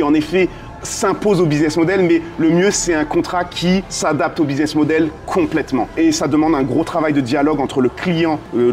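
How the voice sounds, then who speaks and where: A man's voice: fairly high pitch, a little mellow. A man from a French and American background answering interview questions conversationally as a business consultant, dropping in English business terms. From France and United States, dual background. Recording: clearly noisy ambient background.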